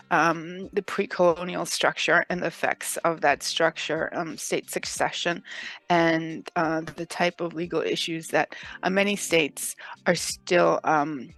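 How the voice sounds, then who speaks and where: A woman from Mexico, a legal expert, answering interview questions thoughtfully with many pauses and fillers. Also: lowish voice, fairly bright tone. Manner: thoughtful, many ums and uhs.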